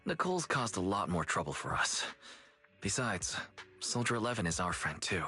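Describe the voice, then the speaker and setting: Easygoing young manager, bright animated voice, playful, reassuring, game dialogue. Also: male voice, low voice, moderate pace, faint music behind, full character voice. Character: easygoing, joking young male shop manager.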